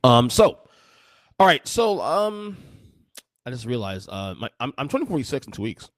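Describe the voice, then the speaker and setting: A man's voice: medium pitch, very animated, moderate pace, no room sound. A man in his forties hosting a solo podcast, talking casually with many restarts and fillers. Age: 40s. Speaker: podcast host.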